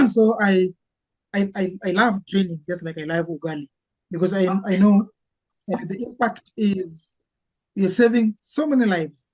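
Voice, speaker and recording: A man from Kenya, a guest speaking earnestly and haltingly as he searches for words. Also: sits high, lively intonation, low-quality recording. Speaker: conservationist and medic guest. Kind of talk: casual interview chat.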